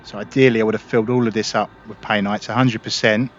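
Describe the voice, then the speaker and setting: A man casually explaining a game as he plays, relaxed and easygoing. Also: fairly low pitch, fast talker, muffled recording. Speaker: gaming video creator. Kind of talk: casual gameplay commentary. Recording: loud background noise.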